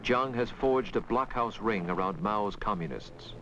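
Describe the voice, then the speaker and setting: A man reading scripted 1960s documentary narration in measured, grave, clipped dramatic phrases. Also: fairly low pitch, noisy background. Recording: dull vintage film soundtrack, loud music. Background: loud musical score.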